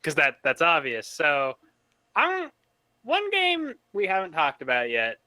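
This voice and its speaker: Bright, crisp-voiced man on a podcast. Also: fairly high pitch, wildly swooping pitch, unhurried, dry recording. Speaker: podcast panelist. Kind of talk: casual video game discussion.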